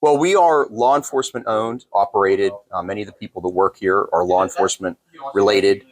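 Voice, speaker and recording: An American man answering as a store owner in an interview, explaining things in measured, formal phrasing. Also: fairly low pitch, low-quality recording.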